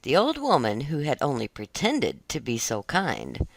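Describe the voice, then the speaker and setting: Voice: medium pitch, huge pitch swings, measured pace, creaky, no echo. A narrator reading a scripted fairy tale aloud for children, in audiobook style.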